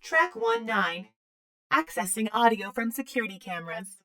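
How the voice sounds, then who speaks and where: A woman's voice: on the high side, very animated, normal speed, distant mic, heavy background noise. A woman playing a rule-bound female mainframe computer in an audio drama, clipped and procedural. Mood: formal, procedural.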